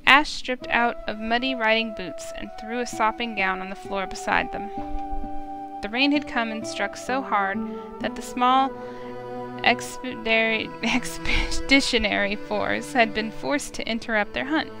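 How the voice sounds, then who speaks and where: A woman with a fairly high, creaky voice narrates a fantasy visual novel aloud. She reads it as a storyteller would, with music clearly playing behind her. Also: unhurried.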